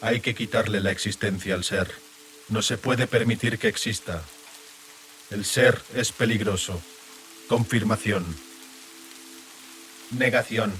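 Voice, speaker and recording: Man voicing a cold, detached, low-voiced otherworldly creature. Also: steady tone, takes it slowly, pauses often, a bit bright, faint music behind. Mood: cold deliberation. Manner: clipped, impersonal pronouncements. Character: cold, detached unicorns debating in telepathy. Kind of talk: dramatized audiobook acting.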